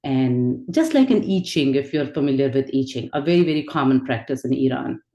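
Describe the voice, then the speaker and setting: A woman whose first language is Urdu gives an earnest, reflective online lecture, pausing on fillers and restarting her sentences. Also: a bass voice, animated, warm tone, roomy sound, highs cut off. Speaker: teacher of an online spirituality class. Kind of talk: informal lecture. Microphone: video call.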